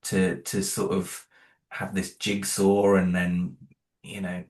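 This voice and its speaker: A man's voice: low-pitched, completely flat delivery, takes it slowly, a little mellow. A man chatting in an interview about making music and sound for his audio drama, talking loosely with many fillers and restarts. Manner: rambling, many fillers and restarts, self-deprecating. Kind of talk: casual interview chat.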